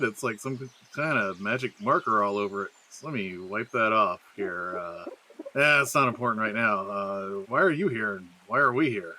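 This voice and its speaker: A man's voice: medium pitch, pushed and bright. A man playing himself in a scripted comedic audio drama. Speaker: podcast regular playing himself in a comedy skit.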